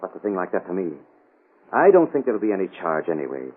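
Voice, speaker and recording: An actor playing a defiant, desperate scientist, scornful and theatrical, in an old radio drama. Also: male voice, sits low, sing-song, fast, breathy edge, full character voice. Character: indignant, defiant male scientist holding a gun, desperate. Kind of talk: 1930s-40s radio drama acting. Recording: muffled old radio broadcast recording.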